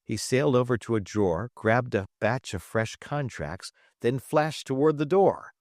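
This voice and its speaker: A man reading fiction aloud as an audiobook narrator, in an expressive, theatrical storytelling manner. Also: mid-range voice, moderate pace, no room sound, studio quality.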